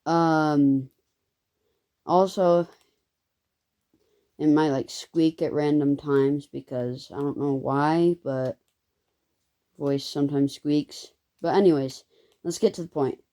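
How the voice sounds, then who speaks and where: Hesitant, slow-talking young vlogger. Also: male voice, fairly high pitch, steady tone, dark tone. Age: child. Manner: rambling, hesitant, many ums and self-corrections. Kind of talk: casual storytime vlog.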